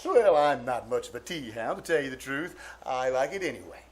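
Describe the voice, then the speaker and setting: An actor playing a bumbling, blustery middle-aged uncle who exclaims and chuckles in a live old-time radio comedy. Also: male voice, medium pitch, animated, a little fast, breathy edge. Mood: coy, flattered. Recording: noisy live theater stage recording.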